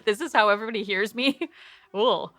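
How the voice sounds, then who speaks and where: Bright-voiced woman joking at a game table. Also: mid-range voice, a little fast. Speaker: player on an actual-play podcast. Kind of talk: casual chat at a game table.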